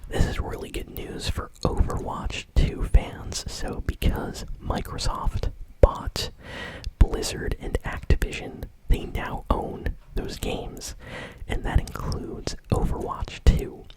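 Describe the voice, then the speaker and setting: Woman, whispered voice, ASMR style, casual conversational delivery, gaming news host. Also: rough recording.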